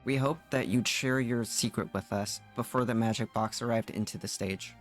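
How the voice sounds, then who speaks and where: Male streamer, casual and halting as he reads game lines aloud, soft music underneath. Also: medium pitch. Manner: halting, repeats words while reading. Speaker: streamer playing and reading along.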